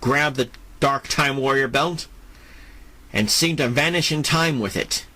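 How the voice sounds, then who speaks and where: A man narrating a scripted superhero audio drama in a deliberate style, with dramatic pauses between phrases. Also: mid-range voice, sharp tone.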